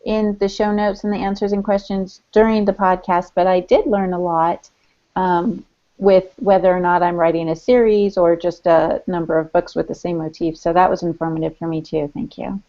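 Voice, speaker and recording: A woman co-hosts a casual podcast in a mellow, unforced voice. Her talk is chatty and informal. The audio is somewhat muffled and lo-fi. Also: lowish voice, little pitch movement, moderate pace. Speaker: podcast co-host. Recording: dull lo-fi audio.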